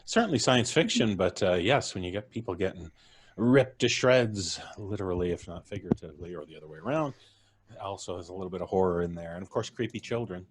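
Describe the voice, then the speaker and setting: A man's voice: low-pitched, lively intonation, fast, a little hiss or hum. A man hosting a casual chat after a live reading, with dry, wry humor. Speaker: host. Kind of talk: casual post-show chat.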